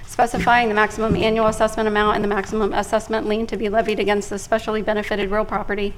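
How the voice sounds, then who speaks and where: A woman clerk reads a long legal resolution very fast in a flat, even tone. The reading is formal and unbroken, with faint room noise behind her. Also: mid-range voice. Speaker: city clerk. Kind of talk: reading a resolution title aloud.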